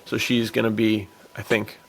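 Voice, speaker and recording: A man's voice: fairly low pitch, flat delivery, moderate pace, gravelly, a bit bright, slight background noise, rough recording. A man co-hosting a podcast, talking casually about films in loose, slangy language. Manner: informal slang, dude-heavy. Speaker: podcast co-host.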